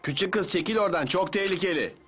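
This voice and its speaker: An actor playing a cautioning official in a dubbed cartoon. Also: male voice, sits high, quick pace, a bit bright. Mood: urgent.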